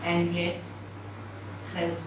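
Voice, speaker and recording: A woman reads literary narration aloud in a measured way, pausing between phrases. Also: on the low side, completely flat delivery. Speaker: reader. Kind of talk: dramatised literary reading, narration. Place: live reading at an indoor event venue. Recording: very echoey hall, distant mic, noisy.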